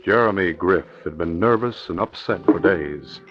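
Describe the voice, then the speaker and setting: A man's voice: low-pitched, normal speed. A man giving scripted, dramatic narration for an old radio drama. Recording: noisy, muffled old radio recording.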